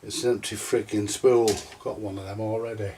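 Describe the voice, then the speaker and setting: A man's voice: on the low side, flat delivery, brisk, warm tone. A man giving casual, muttered, thinking-aloud commentary as he repairs audio equipment at a workbench. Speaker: vintage audio repairer presenting a workbench video. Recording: clear background noise, clicks.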